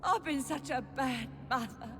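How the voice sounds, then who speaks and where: A woman's voice: sits high, animated, moderate pace. An actress playing a sick, tormented, deranged infected mother in a video game, pained and plaintive in her speech. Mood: bitter, self-pitying. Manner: dragging, unhinged, plaintive. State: sick, in pain. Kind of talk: dramatic video game acting. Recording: reverberant hall with music behind.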